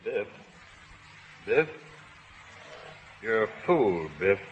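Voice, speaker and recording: An actor in a 1930s radio drama playing a meek, fussy middle-aged husband who whines and pleads. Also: male voice, lowish voice, sing-song, a little hiss or hum, heavily acted. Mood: groggy, complaining. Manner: plaintive, drawn-out, fussing. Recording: thin phone-like 1930s radio, long reverb.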